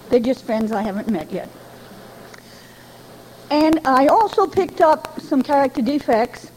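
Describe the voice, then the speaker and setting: An older woman from Rhode Island tells her personal recovery story to a meeting in a chatty, folksy way with gentle humor. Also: on the high side, slight background noise, rough recording. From Rhode Island, New England. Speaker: Al-Anon member giving a talk. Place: speaking to a gathering.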